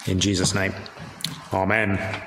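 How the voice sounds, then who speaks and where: A man's voice: sits low, completely flat delivery, slow, music in the background. A man with an English accent preaching a sermon in a conversational, somewhat hesitant way with dry asides. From York, England. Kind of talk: sermon with storytelling. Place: large reverberant indoor room. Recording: echoey hall, reverberant, some background noise.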